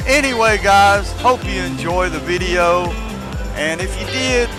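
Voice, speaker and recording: A man's voice: high voice, measured pace. A man giving casual, rambling gameplay commentary and chatting to his viewers in a conversational way. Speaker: gaming video creator. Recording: loud music behind the voice.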